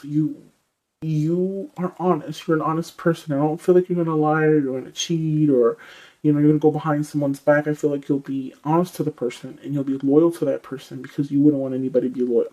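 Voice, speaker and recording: A man with a scratchy throat giving a casual tarot reading, rambling with many fillers and clearing his throat often. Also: fairly high pitch, dark tone. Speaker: tarot reader.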